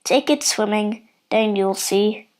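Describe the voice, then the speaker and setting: A woman's voice: mid-range voice, slow, darkish tone, pitched below the performer's own voice, close to an everyday voice. A woman voicing a blunt old duck with a dry, dismissive manner. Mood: disapproving.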